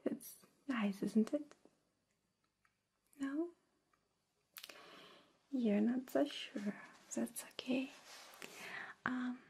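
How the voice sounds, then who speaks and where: A woman playing a friendly, caring alien abductor in an ASMR roleplay, speaking in a soft, breathy whisper with slow, soothing, coaxing words aimed closely at the listener. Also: a little high, slight background noise.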